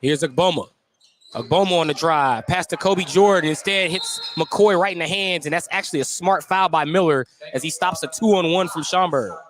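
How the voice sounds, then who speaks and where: A man's voice: a little high, normal speed, a bit bright. A man calling a live basketball game as the radio play-by-play announcer, describing the action in clipped running phrases.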